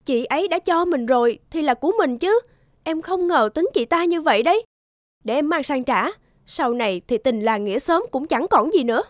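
An actress playing a humble, emotional, plaintive poor wife in an animated moral tale. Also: female voice, sits high, moderate pace, dry recording, clean studio sound. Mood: indignant, hurt. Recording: music behind the voice.

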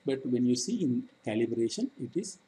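A man giving a technical slide lecture, reading aloud in short, halting chunks as a trainer. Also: medium pitch, big pitch swings, mellow, a little hiss or hum, rough recording. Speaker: engineering trainer.

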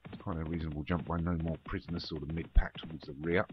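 A man's voice: a bass voice, flat delivery, fast talker. A man giving running commentary on a horse race in an online game league, informal, with muttered asides and self-corrections. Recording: dull, noisy home recording with clicks.

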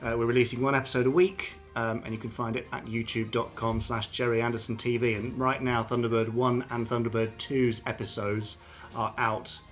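A man's voice: mid-range voice, flat delivery, a little fast, fairly bright tone, faint music behind. A man co-hosting a podcast in casual chat, joking and reading out listener emails. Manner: jokey, reads listener emails aloud. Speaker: podcast co-host.

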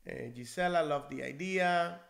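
A man from Cuba in his forties with a bright, slightly raised voice, talking through viewer questions like a friendly, encouraging teacher. He sits at his desk in a small office, caught on a webcam mic. The room rings with echo. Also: on the high side, normal speed, creaky. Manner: friendly, encouraging, conversational with restarts. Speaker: NCLEX prep instructor. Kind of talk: live-stream Q&A, reading viewer comments. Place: small indoor office, seated in leather chair.

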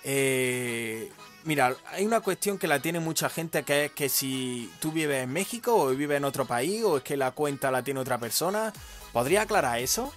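A man's voice: mid-range voice, expressive, normal speed, soft background music. A young man with a Spain Spanish accent, hosting a gaming interview in an upbeat, informal way. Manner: upbeat, informal, says "tío". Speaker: host, gaming channel. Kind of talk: casual interview questions.

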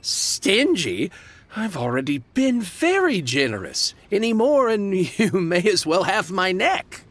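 A man's voice: high voice, big pitch swings, slow pace, fairly bright tone, no echo, heavily acted. A man voicing a haggling merchant in a video game, theatrical and wheedling in manner. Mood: indignant. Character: haggling male merchant, grudging then flattering.

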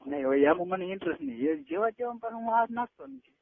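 Tinny phone call, high-pitched insistent young man. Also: sing-song, fast talker, creaky, dry recording. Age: early 20s. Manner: insistent, coaxing. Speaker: caller. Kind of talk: casual private phone chat. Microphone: telephone.

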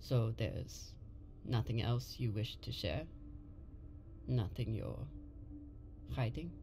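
Guarded female space captain, low voice with lively swings, terse game dialogue, echoey hall. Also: takes it slowly, noisy background, full character voice. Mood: probing. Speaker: game protagonist. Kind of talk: video game voice acting.

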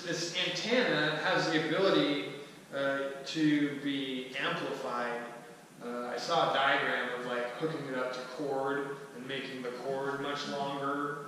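Man in an echoey hall, bright voice. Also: mid-range voice. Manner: halting, casual, thinking aloud. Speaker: presenter at a skillshare. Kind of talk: informal talk to a small group. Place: large wooden-floored hall, indoors. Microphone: distant camera microphone. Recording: echoey hall from far off, faint noise.

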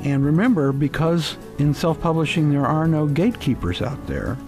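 Creaky-voiced male radio host. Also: on the high side, expressive, moderate pace, darkish tone, faint music behind. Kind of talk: conversational radio hosting.

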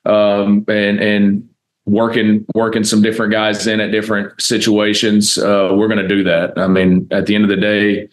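Low, even-voiced American football coach. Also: male voice, normal speed. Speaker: college football offensive coordinator. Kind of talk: press conference answers. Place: indoor press room, seated at a table before a backdrop.

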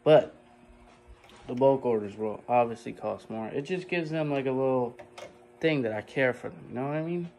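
A man in his twenties vlogging casually to a phone at arm's length while he works in a basement print shop, talking in a hurried, chatty way. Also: mid-range voice, darkish tone, a little hiss or hum. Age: 20s. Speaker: screen printing business owner. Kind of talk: casual vlog to camera.

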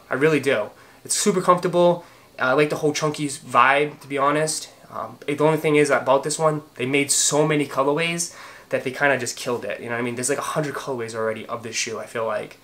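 A man's voice: medium pitch, fairly bright tone. A man in his thirties from Boston with a Boston accent, talking casually to camera in a room at home, chatty and enthusiastic with many fillers and restarts. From Boston, Massachusetts. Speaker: sneaker vlogger. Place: home room with shoe shelves, indoors.

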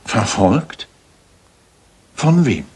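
An actor playing a brisk, self-assured master detective in a German radio drama, with precise, probing questions and a husky voice. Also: male voice, mid-range voice, sing-song, darkish tone, exaggerated voice. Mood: probing, attentive.

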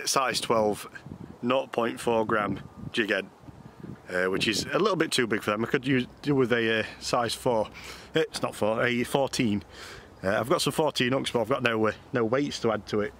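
An English man with a mid-pitched voice talks casually to camera as a fishing vlogger. His wording is informal and colloquial. The recording is made outdoors, with clear ambient noise around the voice. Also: normal speed, fairly bright tone. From United Kingdom. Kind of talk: casual explanatory vlog chat. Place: outdoors on a canal bank.

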